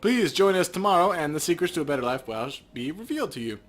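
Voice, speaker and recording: A man voicing a smooth, zealous young cult recruiter in a game, with an earnest, emphatic salesman's delivery. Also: on the high side, big pitch swings, fast, pitched above the performer's own voice. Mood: unctuous, persuasive.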